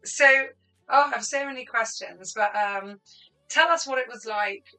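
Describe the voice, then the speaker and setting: A woman's voice: a little high, normal speed, sharp tone, soft background music, poor audio. A woman from Lebanon hosting an interview, asking friendly questions with fillers. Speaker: host. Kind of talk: conversational interview.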